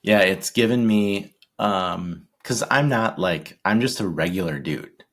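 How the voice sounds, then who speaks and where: A man in his forties, a guest in a casual interview, talking in a shy, halting way with many ums and restarts. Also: on the low side, a bit bright. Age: 40s.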